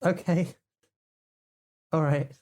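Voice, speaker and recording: A woman's voice: low voice, fairly even intonation, slow pace, warm tone. A woman voicing a hesitant, sheepish young magic student in an improvised tabletop game. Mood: flustered. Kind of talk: improvised tabletop roleplay in character.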